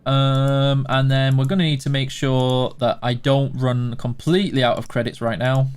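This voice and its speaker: A man's voice: medium pitch, flat delivery, normal speed, clear, resonant tone. A man with a Yorkshire accent, streaming a game and casually answering viewer questions in a chatty, self-deprecating way. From Yorkshire, England.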